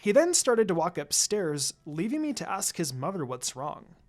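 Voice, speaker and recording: Story reader for fan fiction, first-person narration, very varied pitch. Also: sing-song, normal speed, darkish tone, faint music behind.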